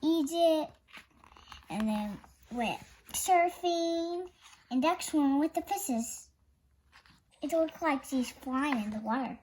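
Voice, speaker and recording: A young child narrates a storybook in a high, lively voice. The reading is slow and a little halting, with small stumbles that get corrected. The pitch moves up and down expressively. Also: slight background noise. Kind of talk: read-aloud story narration.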